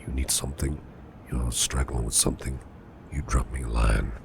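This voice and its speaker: A man playing a caring, protective businessman boss in an ASMR roleplay, speaking in a soothing, reassuring, breathy half-whisper. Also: very deep voice, steady tone, moderate pace, noisy background. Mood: tender, reassuring. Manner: soothing, reassuring, affectionate, gently murmured. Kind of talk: soft ASMR roleplay, personal attention.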